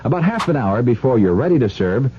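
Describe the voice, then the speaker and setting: A man's voice: big pitch swings, fast talker, dark tone. A man reading a scripted product advertisement as a radio announcer. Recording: muffled radio recording, faint rumble.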